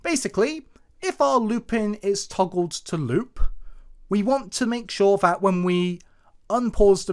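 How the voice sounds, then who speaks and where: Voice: mid-range voice, fairly bright tone. A programming tutorial presenter narrating code aloud in an explanatory, step-by-step way.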